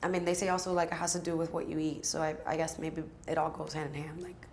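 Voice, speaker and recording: Raspy lady in her 20s just chatting, lots of likes, kinda low voice. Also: level tone, quick pace, darkish tone, a little hiss or hum. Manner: chatty, many fillers like "like". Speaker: guest, aspiring singer and rapper. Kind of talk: casual sit-down chat.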